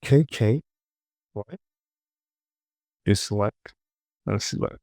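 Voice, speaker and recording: Very deep, slow-talking man teaching software. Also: no echo, studio quality. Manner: muttering to himself, short clipped instructions, repeats words. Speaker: 3D modeling tutorial presenter. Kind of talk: informal screen-recorded software tutorial.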